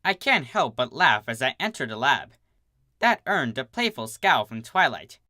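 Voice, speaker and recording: Story narrator, medium pitch, lively intonation, bright tone, very dry close sound, expressive first-person reading. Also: moderate pace, no echo.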